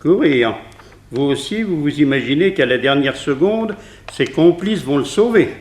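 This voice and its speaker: An actor playing a stern prison warden, solemn, formal and commanding, in a 1960s French radio drama. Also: male voice, a little high, expressive, normal speed, darkish tone, natural voice. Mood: brisk, firm. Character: stern, authoritative male prison warden presiding over execution. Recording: echoey hall, old radio production.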